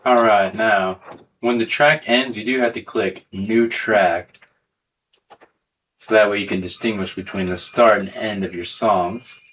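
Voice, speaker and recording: A man's voice: on the low side, fairly even intonation, unhurried, fairly bright tone. A man hosting a casual hands-on tech demonstration video, talking through each step as he goes. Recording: clearly noisy recording with clicks.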